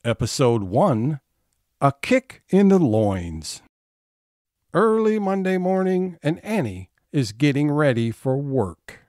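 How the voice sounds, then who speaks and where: Unhurried male drama narrator, lively intonation. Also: on the high side, no echo, clean studio sound. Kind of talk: scripted audio drama narration.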